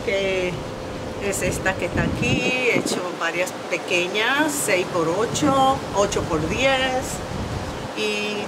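A middle-aged woman with a Dominican accent speaks in a conversational interview. Her pace is slow and reflective, with frequent pauses, and her pitch sits in a medium range. Loud ambient noise runs under the voice. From Dominican Republic. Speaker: visual artist, interview guest. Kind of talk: conversational interview about own art.

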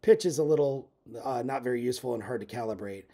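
A man's voice: mid-range voice, fast talker, warm tone. A man in his fifties giving an informal instructional walkthrough as a sailing coach, conversational and explanatory.

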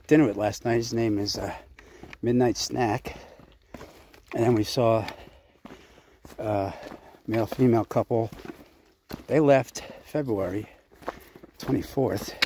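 A man casually narrating his hike to a handheld camera outdoors on a mountain trail, chatty and unhurried, winded at times from the climb. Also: mid-range voice, dark tone, a little hiss or hum. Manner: chatty, unhurried, reads signs aloud. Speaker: hiking vlogger.

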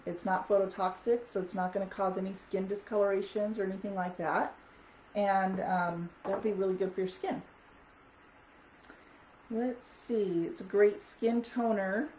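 Raspy woman, chatty vlogger, many ums, echoey room, faint hiss. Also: mid-range voice, dark tone. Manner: chatty, many ums, reads product notes aloud. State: hoarse from talking. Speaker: wellness product vlogger. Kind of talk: casual product talk to camera. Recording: echoey dull audio with faint noise.

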